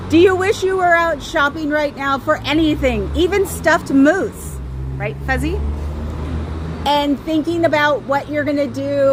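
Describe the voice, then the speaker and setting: A woman with a high voice hosting a casual livestream outdoors, rambling and sarcastic as she talks straight to her listeners. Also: noisy background. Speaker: livestream host.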